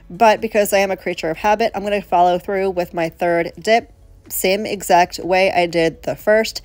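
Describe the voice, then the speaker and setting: A woman's voice: mid-range voice, darkish tone, no room sound. Congested chatty woman doing a voice-over. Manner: chatty, self-deprecating, talks to viewers as y'all. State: congested with a cold. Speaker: nail tutorial host. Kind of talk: casual voice-over tutorial. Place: home, voice-over. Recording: dry close voice-over with faint music.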